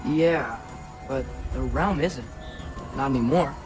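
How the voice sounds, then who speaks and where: A man's voice: mid-range voice, slow, lightly acted. A young-sounding man playing an earnest, curious teenage boy adventurer in a video game, with casual youthful delivery. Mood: focused. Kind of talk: video game character acting. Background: loud music.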